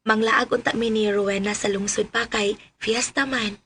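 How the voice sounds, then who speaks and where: A woman's voice: fairly high pitch, steady tone, normal speed, a bit bright, a little hiss or hum. A woman with a Cebuano accent playing an eager young woman in a radio drama. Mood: earnest. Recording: music behind voice. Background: music.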